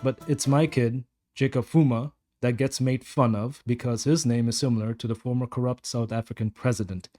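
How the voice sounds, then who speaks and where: A man's voice: mid-range voice, steady tone, moderate pace, few pauses, warm tone, faint music behind, natural voice. A man playing a blunt, defensive, fed-up security guard rambling through a confession in an audio story monologue. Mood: defensive, ranting.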